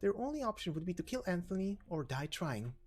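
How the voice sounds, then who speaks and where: A man's voice: high voice, very animated, fairly quick. A man voicing a chatty, breezy, teasing informant in a game story reading, speaking casually with rhetorical questions. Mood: breezy, explanatory.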